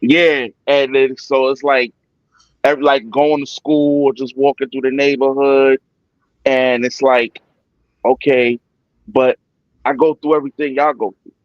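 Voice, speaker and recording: A man's voice: mid-range voice, level tone, measured pace, dry recording, highs cut off. A man from New York, a guest in a casual personal conversation, speaking reflectively and often saying "it's like".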